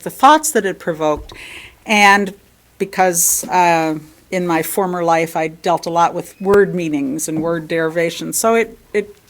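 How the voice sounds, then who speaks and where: An American woman reads a sermon aloud from a script as a lay speaker, phrasing it in a measured, deliberate way. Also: fairly low pitch.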